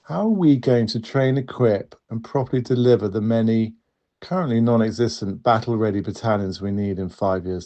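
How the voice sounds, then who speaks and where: A man reading out a listener question in a measured, earnest way. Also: lowish voice, warm tone.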